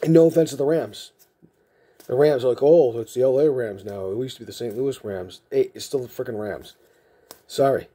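Husky dark-voiced man with softened Massachusetts accent. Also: mid-range voice. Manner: rambling, chatty, jokey asides. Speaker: card collecting channel host. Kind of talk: casual chat while sorting cards. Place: indoor room with card shelves.

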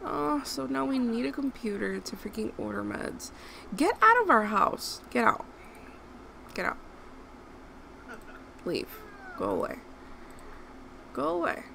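A woman's voice: on the high side, wildly swooping pitch, measured pace, gravelly, long pauses, bright, forceful tone. A young woman from Rico, chatting casually over gameplay and scolding the characters on screen. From Puerto Rico. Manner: chatty, talks to the game characters, bursts of outrage. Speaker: gaming YouTuber. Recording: noisy home recording.

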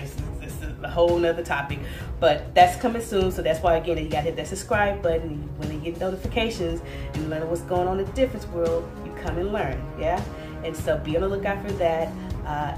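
A woman in her thirties hosting her own vlog, talking to camera in a chatty, laid-back way with plenty of fillers. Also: deep voice. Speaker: vlogger and host. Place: indoors in front of a virtual news-set backdrop. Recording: roomy sound with loud background music.

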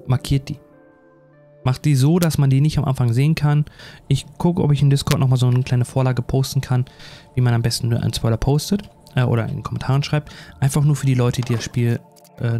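A man's voice: mid-range voice, warm tone. A man doing casual, rambling let's play commentary in a self-deprecating, chatty way. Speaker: gaming YouTuber.

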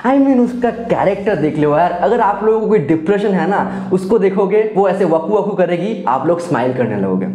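Mellow young man, echoey room. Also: high-pitched, lively intonation, normal speed, hardly any pauses. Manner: enthusiastic, repetitive, addresses viewers as friends. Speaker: anime review YouTuber. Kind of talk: casual video commentary. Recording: roomy echo.

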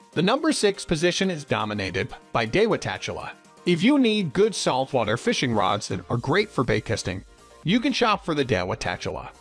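A man reading a scripted product review as narrator. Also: on the high side.

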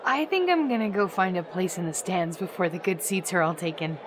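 A woman's voice: fairly low pitch, a little fast, background noise, natural voice. A woman voicing a dry, guarded, protective older-sister pony in a fan audio drama. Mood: guarded, skeptical.

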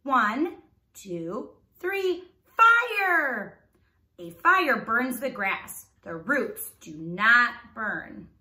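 A woman's voice: on the high side, big pitch swings, extremely slow, pushed and bright, reverberant. A woman reading aloud as a teacher to young children, enunciating carefully and prompting them with questions.

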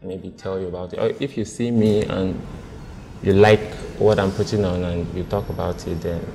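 Deep, mellow-voiced Nigerian man, halting. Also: fairly even intonation, gravelly, in a large hall, slight background noise. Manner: hesitant, halting, many restarts. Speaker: blind date contestant. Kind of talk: casual conversation. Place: indoor restaurant with large windows. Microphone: lapel mic.

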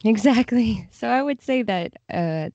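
A woman's voice: fairly high pitch, expressive, unhurried, dark tone, no room sound. A woman chatting casually as a guest in an interview, on slightly dull audio. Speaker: podcast guest, freelance translator.

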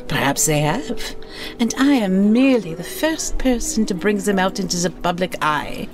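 An actress playing a wealthy, imperious art collector with a French accent, formal and grandly poised in an audio drama. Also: female voice, medium pitch, animated, moderate pace, over music, lightly acted. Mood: smoothly condescending. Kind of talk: full-cast audio drama acting.

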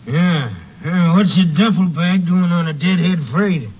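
A high-voiced railroad detective in an old radio drama, dogged and bossy. His slangy orders come through dull sound with light noise. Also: a little slow. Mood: brisk, suspicious. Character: dogged, gruff railroad detective, man on night rounds. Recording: dull, hissy old-time radio recording.

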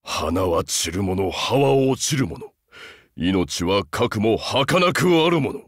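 A man's voice: fairly low pitch, sing-song, slow, dry recording, clean studio sound, heavily acted. A man voicing a huge, loyal, humble beast-man soldier in a game, haunted and earnest, speaking with stiff archaic military formality. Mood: solemn. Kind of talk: Japanese game character voice acting.

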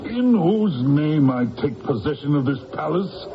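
Brutal Roman governor, contemptuous, highish gravelly voice, commanding, radio play with noisy dull sound. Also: male voice, lively intonation, a little slow. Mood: haughty, commanding. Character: big, brutal, arrogant Roman procurator. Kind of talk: dramatic radio acting.

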